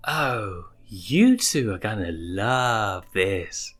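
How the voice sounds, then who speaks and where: A man's voice: lowish voice, expressive, drawn-out words, a bit bright, heavily acted. A man voicing a smug, teasing young lioness who bosses cubs around, in a storybook reading. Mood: smug, amused. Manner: teasing, commanding. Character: smug, teasing young adult lioness cub-sitter, bossy.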